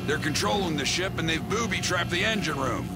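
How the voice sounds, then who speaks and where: An actor playing a bossy, self-sacrificing Navy ship captain in a military action game, with a gruff, salty, commanding delivery. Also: male voice, a little high, animated, fairly quick. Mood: grim, urgent. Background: loud music, gunfire.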